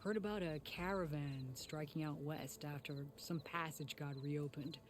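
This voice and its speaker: A woman's voice: deep voice, fairly even intonation, moderate pace, slight background noise, lightly acted. An actress playing a worried, guilt-ridden female tinkerer in a video game, speaking in an earnest, wry, conversational way. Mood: sheepish, wry. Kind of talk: video game character acting. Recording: faint music behind the voice.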